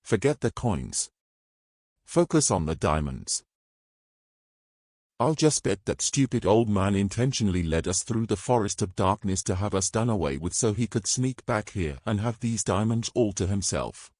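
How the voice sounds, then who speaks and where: A man playing a greedy, imperious male adventurer with brisk old-fashioned speech in a fantasy audio story. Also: on the low side, animated, a little mellow, no echo, clean studio sound. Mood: bossy, scornful.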